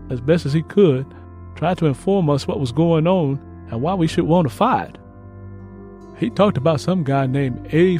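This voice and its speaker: Earnest young country soldier, higher-pitched, read by a man. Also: warm tone, close to an everyday voice. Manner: plain, earnest rural Southern phrasing. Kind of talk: first-person letter read in audiobook.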